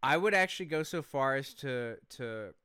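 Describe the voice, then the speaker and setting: A man's voice: mid-range voice, expressive, moderate pace, sharp tone, smooth, dry recording. A man co-hosting a podcast, telling stories in a casual, irreverent, joking way with some swearing. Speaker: podcast co-host. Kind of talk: casual comedic storytelling.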